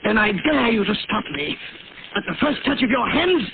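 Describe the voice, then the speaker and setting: A cackling mad scientist on old radio. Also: male voice, very high voice, expressive, normal speed. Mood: gloating. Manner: maniacal laughter, taunting. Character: cackling mad scientist poisoner, male, gloating. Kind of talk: 1940s radio acting. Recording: tinny scratchy old radio broadcast, loud hiss.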